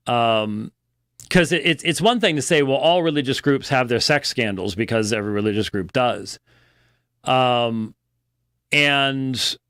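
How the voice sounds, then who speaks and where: Male host, unhurried and halting, critical religious commentary, close dry sound. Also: mid-range voice, fairly bright tone, smooth, dry recording. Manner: halting, deliberate, with pauses. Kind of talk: unscripted religious news commentary.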